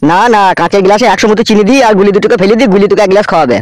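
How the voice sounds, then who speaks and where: Man as crude cartoon henchman; rapid-fire, insistent scolding in a raised pitch, close dry sound. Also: dry recording, close to an everyday voice. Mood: insistent warning. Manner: scolding, insistent, foul-mouthed. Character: bossy crude male henchman of a politician. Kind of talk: comedy cartoon voice acting.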